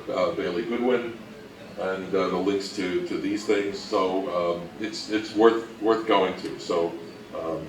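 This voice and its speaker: A man's voice: deep voice, a bit bright, a little hiss or hum. A man giving an informal presentation to an event audience, with a dry, joking, self-mocking manner. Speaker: panel presenter. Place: indoor event room, recorded from a distance. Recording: distant, echoey room with audience.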